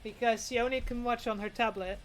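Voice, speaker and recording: A woman's voice: on the high side, steady tone, fairly quick, faint music behind, rough recording. Chatty Dutch-accented woman streaming. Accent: Dutch, with some British English. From Netherlands. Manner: chatty and rambling, many restarts, greets chat. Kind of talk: casual livestream chat while sewing.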